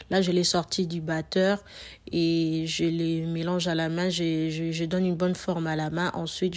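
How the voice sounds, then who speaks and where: A woman with a cold narrates her home cooking, her voice hoarse and fairly low. She speaks in a flat, even tone, chatty and loose, repeating words and dropping in fillers as she works. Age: adult mother. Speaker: cooking channel host. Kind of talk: casual cooking tutorial narration.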